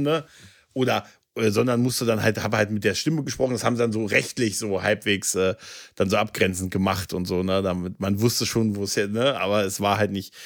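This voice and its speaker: A man's voice: fairly low pitch, gravelly. A man in a casual chat, telling anecdotes colloquially and often tagging his sentences with little checking questions. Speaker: podcast co-host.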